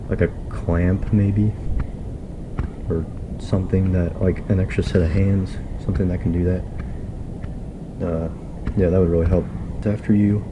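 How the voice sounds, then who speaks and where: A man casually walking through a do-it-yourself wiring job, relaxed and rambling with plenty of fillers. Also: deep voice, lo-fi sound, noisy background. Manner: laid-back, rambling, filler-heavy explaining. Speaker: DIY video host. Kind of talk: casual how-to walkthrough. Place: inside a pickup truck and around it, at night.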